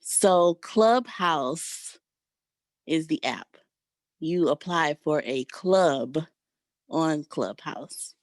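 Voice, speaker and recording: A woman's voice: deep voice, animated, extremely slow, dry recording. A woman on a group call explaining and clarifying things in a matter-of-fact, conversational way. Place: online group call, unmuted mic.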